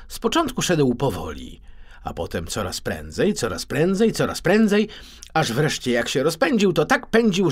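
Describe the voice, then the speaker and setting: A man reads a children's story as a scripted audiobook. His delivery is theatrical and expressive, with big swings in pitch. The middle-pitched voice has a husky grain. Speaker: audiobook narrator.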